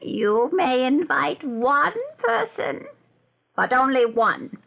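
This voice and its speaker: A woman acts out a cunning old witch queen in a fairy tale. The voice is high and swings widely in pitch. She hands down her commands slowly, with measured menace and royal disdain. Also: big pitch swings, gravelly, muffled recording, full character voice. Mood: gloating, menacing. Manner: slow, measured, menacing pronouncements.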